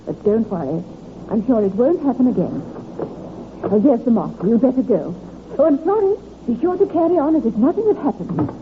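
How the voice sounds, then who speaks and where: An actress playing a composed, genteel mistress of the house with measured, clipped speech, in an old radio drama. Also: female voice, a little high, sing-song. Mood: concerned, controlled. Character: genteel lady of the house, composed, quietly wary. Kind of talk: 1950s radio drama acting. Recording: tinny, hissy old radio recording.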